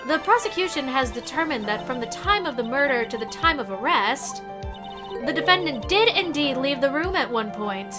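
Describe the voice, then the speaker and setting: A woman voicing an arrogant young female prosecutor who speaks with imperious scorn, over loud game music. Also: high voice, animated, moderate pace. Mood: assertive. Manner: imperious, insulting, repeats "fool". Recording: game music playing loudly under voice.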